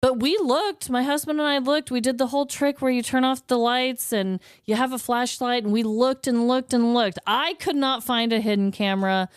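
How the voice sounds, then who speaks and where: A woman co-hosting a podcast, chatting in a casual, comedic way, quick to react and tease, recorded on a studio mic. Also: fairly high pitch, clean tone, dry recording. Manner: expressive, quick to gasp and react. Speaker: podcast co-host.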